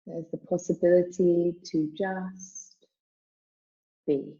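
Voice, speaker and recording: Female meditation guide: low, even-toned delivery, soothing and measured, lots of space between phrases, slightly dull recording. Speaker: yoga nidra meditation teacher. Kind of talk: guided meditation, spoken slowly with long pauses.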